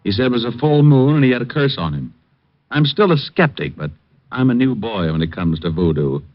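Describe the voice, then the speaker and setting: An actor playing a cool, flirtatious private detective who also narrates in the first person, in a 1950s radio drama with a dry, wry delivery. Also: male voice, medium pitch, expressive, brisk, natural voice. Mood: narrating. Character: wisecracking male private eye, cool and flirtatious. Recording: dull old radio broadcast with music.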